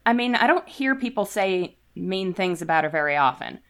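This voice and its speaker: A woman's voice: lowish voice, moderate pace. A young woman playing in a tabletop game, answering in character with rambling "I mean" restarts and giggles. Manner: giggly and animated. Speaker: player.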